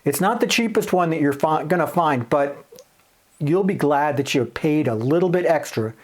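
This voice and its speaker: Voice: mid-range voice, lively intonation, moderate pace. A reviewer giving a casual, plain-spoken, conversational product review to camera.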